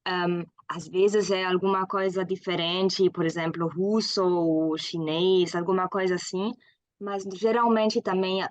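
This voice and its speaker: A woman's voice: lowish voice, flat delivery, a little slow, dry recording. German woman speaking Portuguese, hesitant. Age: 20s. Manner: hesitant with frequent fillers, laughs often. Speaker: guest. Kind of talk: casual interview.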